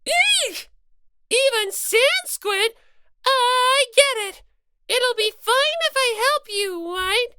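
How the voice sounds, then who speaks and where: Audiobook mascot: tearful, earnest female bunny, childlike piercing voice, indignant wails, lively swoops. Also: extremely slow, slight background noise, heavily acted. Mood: panicked, pleading. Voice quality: squeaky, childlike. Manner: plaintive, wailing, indignant. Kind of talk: character voice in fan audiobook.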